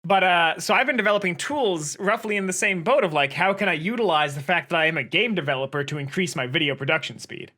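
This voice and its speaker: Bright-toned wry American man. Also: on the high side, fast, few pauses. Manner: wry, joking asides. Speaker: podcast co-host, game developer. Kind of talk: casual chat.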